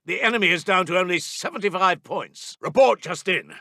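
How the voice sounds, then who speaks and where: Casting: brisk British wartime intelligence officer for a strategy game; sharp, clipped, formal delivery, dry close recording. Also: male voice, high voice, expressive, creaky, dry recording, full character voice. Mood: urgent, rousing. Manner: clipped, formal, urgent military reporting. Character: brisk, dutiful British Second World War intelligence officer. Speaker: video game intelligence announcer. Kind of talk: scripted military game voice lines.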